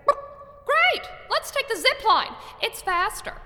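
A woman's voice: very high-pitched, sing-song, slow pace, big-room sound, exaggerated voice. A woman voicing a chatty talking hen who clucks and lays golden eggs. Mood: bubbly. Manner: bright, bubbly, clucking.

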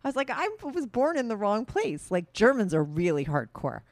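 A woman's voice: medium pitch, big pitch swings, normal speed, no room sound. A woman in her forties hosting a casual chat, blunt and teasing, who swears freely and talks over her guest. The sound is dry and close-miked. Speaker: host.

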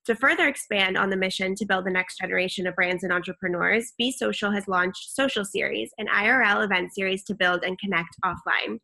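A woman reads a prepared introduction as a podcast host. Her voice is bright and forward, sitting at a middle pitch. The delivery is formal and scripted. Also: rough recording.

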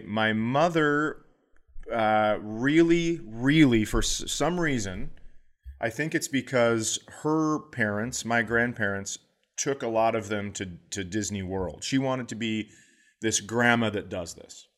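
A man hosting a podcast, telling casual comic stories in a profane, animated way. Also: fairly low pitch, slow pace. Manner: profane, animated anecdotes with acted-out quotes. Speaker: podcast host, comedian.

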